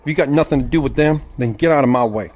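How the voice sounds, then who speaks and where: A man's voice: mid-range voice, fast talker, very noisy, close to an everyday voice. An actor playing a proud, indignant Southern crossbowman of the 1870s in an audio drama, with formal, theatrical speech. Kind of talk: audio drama with first-person narration.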